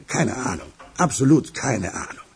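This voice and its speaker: An actor playing an imperious, worried elderly boss and grandfather in a radio crime drama, with a husky voice. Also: mid-range voice, big pitch swings, normal speed, a little mellow, exaggerated voice. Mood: worried, irritated. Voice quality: husky, gravelly.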